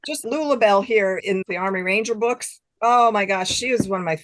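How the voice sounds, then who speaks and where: A woman's voice: mid-range voice, a little fast, rough recording. A woman guest chatting casually in an interview, often laughing as she talks and acting out imagined exchanges. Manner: animated self-quoting, acting out imagined exchanges; giggly, laughs often while talking. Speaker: guest author.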